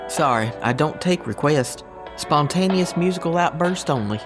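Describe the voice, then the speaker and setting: A man's voice: fairly high pitch, animated, normal speed, over music. A man playing a loyal, good-natured and goofy agent with a folksy, joking manner in an audio drama. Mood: joking, easygoing. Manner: folksy, warm-hearted, joking.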